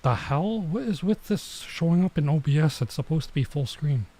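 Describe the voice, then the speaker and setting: A man's voice: a little high, expressive, normal speed, warm tone. A man giving casual gameplay stream commentary, muttering to himself in mildly exasperated slang. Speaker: streamer, VTuber playing a visual novel.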